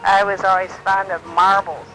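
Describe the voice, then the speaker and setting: An elderly woman from Illinois in her nineties, gently recalling her life in an unhurried way, often pausing with um and uh. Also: medium pitch, level tone, soft background music, rough recording. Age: older. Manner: gentle, unhurried storytelling with many ums and uhs. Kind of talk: reminiscing, answering memory prompts.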